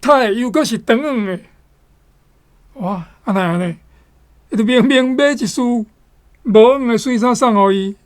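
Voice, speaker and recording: A presenter reads and introduces literary passages for radio. The delivery is very slow and deliberate, broken carefully into short phrases. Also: mid-range voice. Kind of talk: measured literary reading and introduction.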